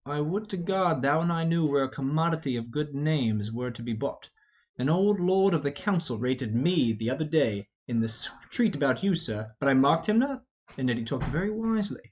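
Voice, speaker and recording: A man's voice: on the high side, animated, normal speed, darkish tone, voice pushed down, natural voice. A man voicing a blustering, roguish old knight in an amateur Shakespeare reading, full of mock-indignant rhetoric. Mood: mock-repentant. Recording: dull, lo-fi.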